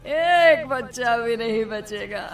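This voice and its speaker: A woman voicing a vengeful, cackling witch ghost with a menacing, drawn-out delivery, in a cartoon story. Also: a little high, lively intonation, far from the mic, music in the background, pitched above the performer's own voice. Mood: gloating menace.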